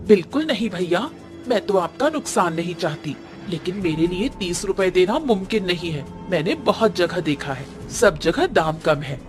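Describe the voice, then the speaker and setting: A woman's voice: fairly low pitch, lively intonation, lightly acted. Woman playing firm, haggling female shopper. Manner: brisk, argumentative, insistent. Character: firm, haggling, no-nonsense female market customer. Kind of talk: Hindi cartoon story voice acting. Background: background music.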